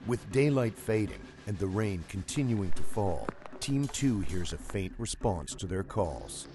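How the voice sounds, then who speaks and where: A man reading scripted documentary narration in a measured, storytelling way. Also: on the low side, very animated. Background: music.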